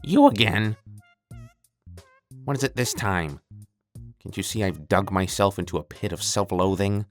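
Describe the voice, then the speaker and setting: A man's voice: on the low side, sing-song, a little slow, long pauses. Man doing a whiny, melodramatic mad scientist over music. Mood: gloomy, exasperated. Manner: melodramatic, exasperated, stammering. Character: self-pitying, theatrical male evil mad scientist, petulant and whiny. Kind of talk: comedic audio skit. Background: creepy music.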